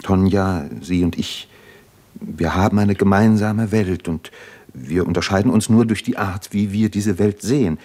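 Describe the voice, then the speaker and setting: An actor playing an earnest, thoughtful educated man in a 1950s radio drama, deliberate and searching for words. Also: low-pitched, dark tone. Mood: earnest, hesitant.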